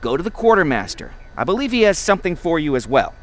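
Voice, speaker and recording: A man's voice: fairly high pitch, sing-song, fast talker. Casting: male weapons scientist, raised register, swooping delivery, fussy precision, short patience, video game. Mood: instructive. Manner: precise, pedantic. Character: fussy, impatient male laboratory director, weapons egghead.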